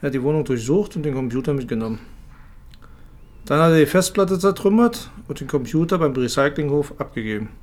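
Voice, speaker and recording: An actor playing a sober police detective in a radio drama, reporting in a matter-of-fact way. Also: male voice, a little high, animated, a little fast, a little mellow, natural voice. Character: male police detective, sober colleague of the commissioner. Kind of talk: naturalistic German radio drama acting.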